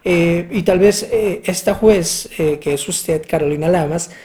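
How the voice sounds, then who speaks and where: An interviewer asking measured questions, with hesitant fillers. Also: mid-range voice, warm tone.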